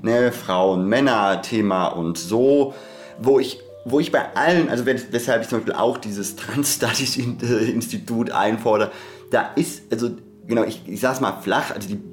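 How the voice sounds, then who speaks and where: A man's voice: medium pitch, moderate pace, soft background music. A man hosting an analytical podcast conversation, thinking aloud and often rephrasing himself as he goes. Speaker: podcast host.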